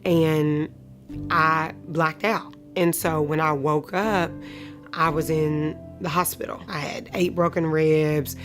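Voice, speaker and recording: Low-voiced woman in her thirties, sharing testimony. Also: steady tone, slow. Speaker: client of a shelter charity telling her story. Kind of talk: personal testimony in an interview. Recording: music playing behind the voice. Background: instrumental music.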